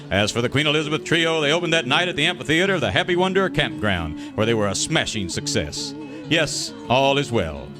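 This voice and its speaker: A man's voice: medium pitch, natural voice. A man playing a grandiose old-time radio serial announcer, narrating with florid melodrama. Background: music.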